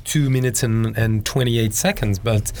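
A man's voice: lowish voice, a little slow, a little mellow. Middle-aged guy from hong kong, french is his first language, jokey, rambly, repeats himself, bit of hiss behind. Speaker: podcast guest. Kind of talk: casual podcast interview.

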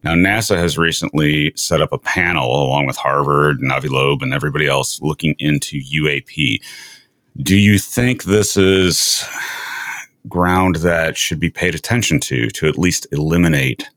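A man hosting a casual science interview, musing aloud in a measured way. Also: extremely low pitch, fairly bright tone, no echo, clean studio sound. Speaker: show host, interviewer.

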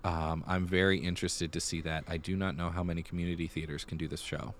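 A man's voice: sits low, flat delivery, quick pace, fairly bright tone, a little hiss or hum. A man hosting a podcast, talking casually through theater news and thinking aloud as he goes. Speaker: podcast host.

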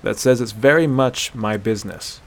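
A man's voice: lowish voice, normal speed, creaky. A man voicing a stubborn, sarcastic young hunter in a dramatic fantasy story, blunt and incredulous in his challenges. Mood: challenging, sarcastic. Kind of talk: dramatic fantasy audiobook character voice.

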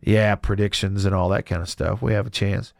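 A man's voice: fairly low pitch, level tone, fairly quick, gravelly, no room sound. A man hosts a sports talk show on the radio, his voice stuffy and congested from a cold. He keeps it easygoing and chuckles often, restarting his sentences as he goes. The studio sound is dry and close. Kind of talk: casual radio chat.

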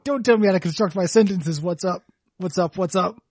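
A man doing a game company executive, deadpan, catchphrase habit, high raspy voice, dry close sound. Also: a little fast, dry recording. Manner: ends every sentence with a catchphrase. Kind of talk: improvised comedy sketch.

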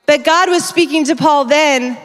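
Woman preacher, fairly high voice, conversational, self-correcting, long church-hall reverb. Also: moderate pace. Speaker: conference speaker. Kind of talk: sermon.

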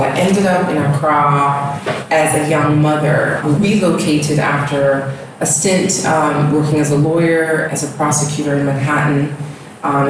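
Deep-voiced woman in an echoey room. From Ghana, raised in the US. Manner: reflective, rambling with fillers. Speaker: guest, yoga studio founder. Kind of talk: casual interview talk. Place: home. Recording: echoey room, distant mic, background noise.

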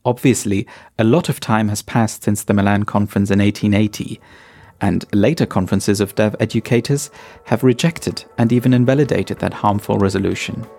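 A man hosting a podcast, giving scripted narration in a measured, explanatory way. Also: lowish voice, steady tone, vocal fry, dark tone. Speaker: podcast host.

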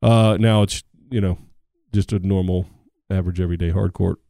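A man's voice: deep voice, steady tone, brisk, gravelly, mellow, dry recording, clean studio sound. A middle-aged American man co-hosting a podcast in casual chat, joking, sarcastic and rambling. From United States. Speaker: podcast co-host.

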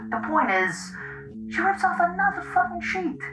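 A man's voice: very high-pitched, big pitch swings, unhurried, creaky, music in the background, full character voice. A man playing a panicked, foul-mouthed boyfriend in an audio drama, agitated and stammering as he rants. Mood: exasperated, alarmed. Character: panicked, foul-mouthed boyfriend ranting about frightening events. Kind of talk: found-footage audio drama monologue.

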